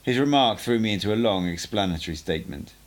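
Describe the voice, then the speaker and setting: A man reading a book aloud as an amateur audiobook narrator, in an expressive, measured way with emphatic phrasing. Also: fairly low pitch.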